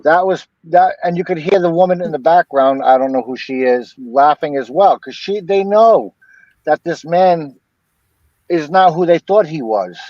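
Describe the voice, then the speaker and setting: A man's voice: fairly high pitch, lively intonation, normal speed, darkish tone, dry recording. A man talking casually about a crime case as a guest, rambling and often repeating himself. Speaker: interview guest. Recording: dull, muffled lo-fi sound.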